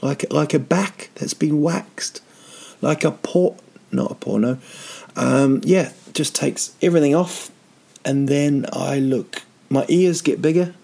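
A man's voice: fairly high pitch, slow, gravelly, mellow. A man in his thirties, rambling through a comic vlog with dry, self-mocking asides and lots of ums. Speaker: vlogger.

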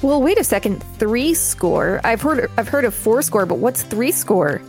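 A woman's voice: fairly high pitch, very animated, a little slow, darkish tone, faint music behind. A woman co-hosting a podcast, reading an encyclopedia article aloud with casual asides. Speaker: podcast co-host and reader.

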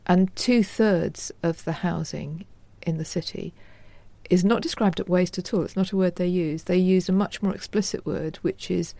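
A woman historian explaining her subject as an interview guest in a measured, scholarly manner. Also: fairly low pitch, fairly even intonation, creaky, warm tone, no echo.

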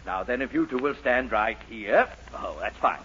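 An actor playing a hurried, blustering small-town mayor in a 1940s radio sitcom, pompous, folksy and easily flustered. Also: male voice, mid-range voice, animated, a bit bright, lightly acted. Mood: hurried, genial. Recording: dull, slightly noisy 1940s radio recording.

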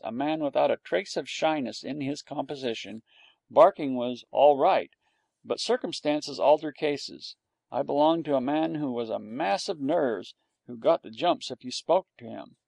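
A man narrates a storybook with a wry, storytelling touch. His voice is gravelly and sits a bit high for a man. The recording is close and dry. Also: darkish tone, dry recording. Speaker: audiobook narrator. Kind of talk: storybook reading.

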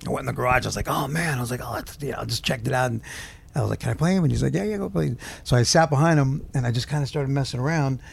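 Gravelly-voiced man rambling through a story. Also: medium pitch, rapid-fire. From Southern California. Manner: rambling, searching for words. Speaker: guest musician. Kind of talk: casual interview. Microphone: handheld mic held close.

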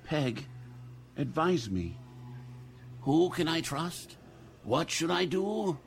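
A man playing a credulous, anxious uncle in a radio drama, with a halting, pleading, theatrical delivery. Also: a little high, big pitch swings, creaky, slight background noise, exaggerated voice. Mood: anxious, submissive. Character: credulous, anxious wealthy uncle yearning for dead woman.

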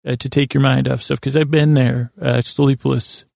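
A man with a creaky voice hosting a bedtime podcast, rambling haltingly through meandering tangents to help listeners fall asleep. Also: mid-range voice, little pitch movement, a little mellow, no echo, studio quality. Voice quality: creaky, gravelly voice. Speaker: podcast host.

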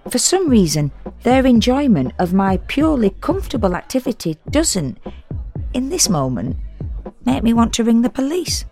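A woman's voice: a little high, sing-song, moderate pace, warm tone. An actress playing a sardonic, self-deprecating woman in an intimate, confiding first-person audio drama monologue. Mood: wry, confiding. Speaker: solo performer reading a dramatic monologue. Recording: music under the voice.

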